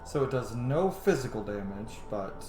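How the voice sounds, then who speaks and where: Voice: medium pitch, animated, moderate pace. Casual gamer in an echoey room. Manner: informal, muttering to self. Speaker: gaming streamer. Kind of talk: casual playthrough reactions and thinking aloud. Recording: roomy, echoing voice over game music. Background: game music.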